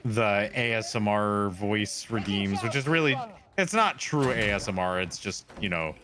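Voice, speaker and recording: A man streaming a video game with friends, chatting casually in a soft, soothing voice and often restarting his sentences. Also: lowish voice, unhurried, crowd noise. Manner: laughing, rambling, self-restarting. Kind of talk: casual gaming chat with friends.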